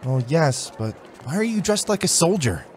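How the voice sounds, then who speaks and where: High-voiced, earnest young soldier. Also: male voice, sing-song, normal speed, slight background noise. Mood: concerned. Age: young adult. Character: earnest young soldier, dutiful protective son. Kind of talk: audiobook drama acting.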